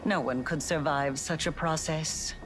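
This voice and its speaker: An actress playing a solemn, cryptic scholar of forbidden magic in a video game, explaining things deliberately. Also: female voice, low-pitched, steady tone, background noise. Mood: somber, matter-of-fact.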